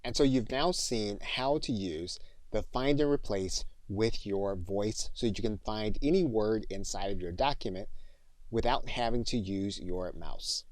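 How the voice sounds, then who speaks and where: A man narrating an instructional software tutorial in a deliberate, step-by-step manner. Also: fairly low pitch, no echo.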